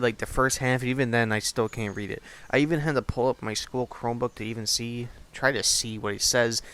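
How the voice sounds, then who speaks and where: A man's voice: mid-range voice, a bit bright. A young man giving a casual, sarcastic recap commentary in an offhand, sweary way. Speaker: host, video reviewer. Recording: clearly noisy home recording.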